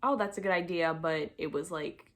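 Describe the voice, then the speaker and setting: Young woman, slightly low voice, casual storytime vlogger, chatty and self-deprecating. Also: normal speed. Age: young adult. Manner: chatty, informal, self-deprecating, acts out quoted dialogue.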